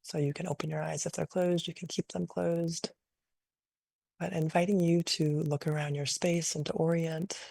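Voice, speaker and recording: A woman leading a guided meditation in a gentle, unhurried, invitational way, improvising softly and leaving long pauses. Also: deep voice, little pitch movement, vocal fry, no echo. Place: online video call session.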